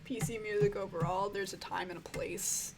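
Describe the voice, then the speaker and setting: Croaky-voiced woman chatting casually. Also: mid-range voice, fairly even intonation, moderate pace, loud music behind the voice. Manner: rambling, filler-heavy, frank and swearing. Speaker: music reviewer answering viewer questions. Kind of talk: casual Q&A chat.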